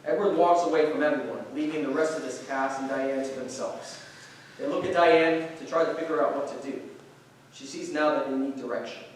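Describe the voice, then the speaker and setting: A man's voice: medium pitch, fairly quick. A man reading stage directions as scripted narration at a staged play reading. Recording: very echoey room, distant mic, faint noise.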